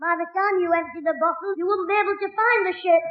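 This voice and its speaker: A performer playing a cheeky, quick-witted young schoolboy with a Northern English accent in a 1960s radio sitcom, full of wisecracking backchat. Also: extremely high pitch, steady tone, background noise. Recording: roomy, tinny old radio broadcast recording.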